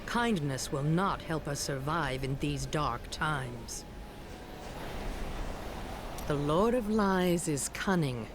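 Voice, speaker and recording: Slow, stern demon hunter. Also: female voice, deep voice, lively intonation, background noise. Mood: cold resolve. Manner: stern, deliberate. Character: vengeful, stern demon hunter, hardened and unyielding. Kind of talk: dramatic fantasy game acting.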